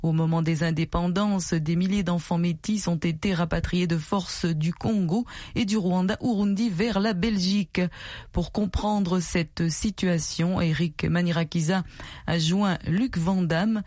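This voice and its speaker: A presenter reads a scripted news bulletin in a clear, formal manner. The sound is dry and close, with no room at all. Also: medium pitch, steady tone, unhurried, a little mellow, no room sound. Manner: clear, formal studio delivery.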